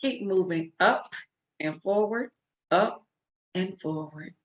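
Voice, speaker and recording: A woman's voice: medium pitch, takes it slowly. A woman speaking as an interview guest, emphatic and motivational, repeating short phrases for emphasis. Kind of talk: conversational interview.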